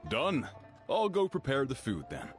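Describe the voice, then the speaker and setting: A man's voice: medium pitch, huge pitch swings, measured pace, soft background music. A man voicing a proud, businesslike restaurant chef in a video game, speaking emphatically. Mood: obliging.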